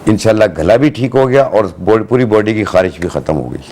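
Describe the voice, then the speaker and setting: Male hakeem, lower voice, patient instructive delivery on a morning show. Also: fairly quick. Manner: calm, step-by-step instructive. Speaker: hakeem giving herbal advice. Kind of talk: studio chat, giving remedies. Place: TV studio.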